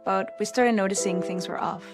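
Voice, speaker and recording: A woman playing a concerned grown daughter in a health awareness ad, giving a soft testimonial. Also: mid-range voice, moderate pace, music in the background, lightly acted. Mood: gentle, earnest.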